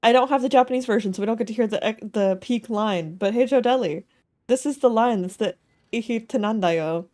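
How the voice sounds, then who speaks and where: A woman's voice: fairly high pitch, a little fast, a little mellow. A woman doing casual live video game commentary, thinking aloud in a playful way. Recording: faint game music behind the voice. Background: game soundtrack.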